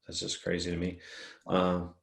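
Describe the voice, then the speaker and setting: Deep-voiced baritone man, echoey room. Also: moderate pace, vocal fry. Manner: relaxed, rambling, laughs often. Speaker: guest singer-songwriter. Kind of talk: casual interview chat.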